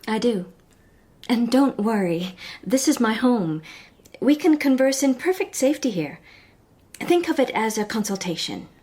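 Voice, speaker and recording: An actress playing a sharp-witted young society lady detective in a period radio drama, composed, formal and probing. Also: on the high side, very animated, unhurried, husky, exaggerated voice. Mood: cool, assured. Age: young adult.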